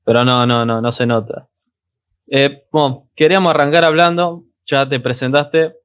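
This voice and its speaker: A young man hosting a podcast, asking relaxed questions with short prompts. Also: medium pitch, moderate pace, clean tone. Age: young adult. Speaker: podcast host. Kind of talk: casual interview questions.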